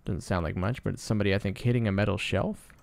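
A man presenting a casual sound design tutorial in a low-key, explanatory way. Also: steady tone, fast, vocal fry, slight background noise. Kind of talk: casual screen-recorded tutorial narration.

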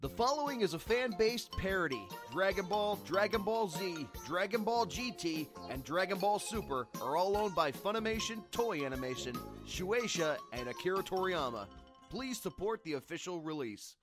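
A man's voice: high voice, expressive, normal speed, music in the background. A man reading a scripted disclaimer for a parody dub.

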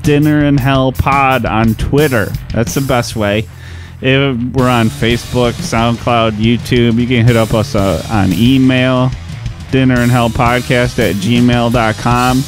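Chatty male podcast co-host, mid-pitched. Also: fairly even intonation, unhurried, music in the background. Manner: chatty, laughs often, swears casually. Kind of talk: casual chat.

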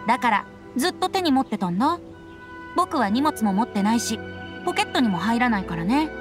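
A playful, carefree young man from a fantasy game, breezy and evasive. The voice is high and very lively, swinging about in a teasing sing-song. Music runs clearly behind it. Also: a little fast. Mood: breezy explaining. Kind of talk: anime game voice acting.

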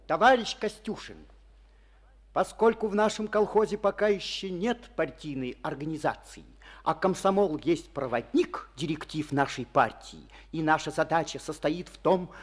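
An earnest young village activist. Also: male voice, fairly high pitch. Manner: earnest, declamatory, chairing a meeting. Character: young male village Komsomol secretary, earnest and eager. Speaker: Komsomol secretary Markel. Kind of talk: Soviet radio play acting. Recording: old studio radio play recording.

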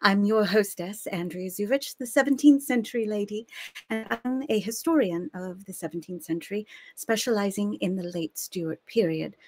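A woman's voice: mid-range voice, normal speed, darkish tone. American woman hosting a history livestream. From United States. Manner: chatty, friendly, with fillers and small laughs. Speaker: host. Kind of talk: live-streamed history show introduction. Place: home study with bookshelves.

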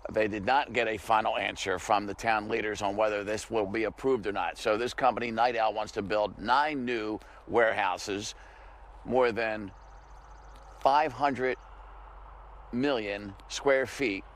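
A man's voice: on the low side, fairly even intonation, a little slow, a bit bright. Conversational middle-aged male field reporter. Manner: conversational, emphatic storytelling. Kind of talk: live TV news report. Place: outdoors by a grassy field.

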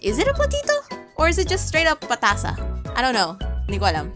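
A woman's voice: sits high, fast, over music. A woman in her twenties with a Filipino accent, giving scripted video commentary with playful, casual asides. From Philippines. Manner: playful, animated, casually joking. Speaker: video host and reviewer.

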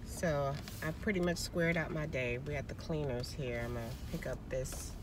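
A woman's voice: low-pitched, moderate pace, background noise. A woman in her forties talking casually to viewers from a parked car, recorded on a phone held at arm's length. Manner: chatty, loose and conversational, often calls listeners "girl". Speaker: vlogger. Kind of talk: casual chat. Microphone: phone camera at arm's length.

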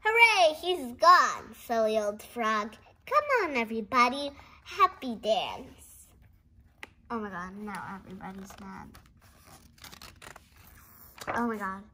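A young girl hosting a read-along, reading a picture book aloud and reacting chattily in a giggly, excitable way. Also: on the high side, slow, pauses often. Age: child. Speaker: host of a read-along video. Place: indoors at a dark wooden table. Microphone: camera pointed down at the book.

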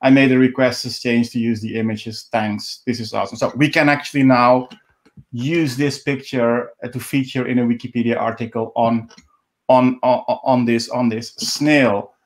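A middle-aged native Dutch man with a Dutch accent, giving an informal walkthrough on a video call in a halting, repetitive way. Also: medium pitch, little pitch movement. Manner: halting, repeats words, restarts often. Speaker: live stream presenter. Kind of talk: informal screen-share walkthrough. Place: indoors at home, on a video call. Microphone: video call microphone.

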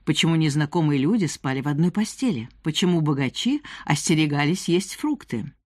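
Female audiobook narrator, scripted nonfiction, animated intonation, articulate, dry studio-like sound. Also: low voice, a little fast, no room sound.

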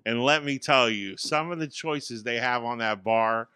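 A man in his forties, co-hosting a laid-back tech podcast, talks in an easygoing, self-deprecating way. His voice is bright and crisp. The sound is dry and close on a studio boom mic in a small treated room. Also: unhurried, no room sound. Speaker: podcast co-host. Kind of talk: casual tech podcast chat. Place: small home studio with acoustic panels. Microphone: studio mic on boom arm.

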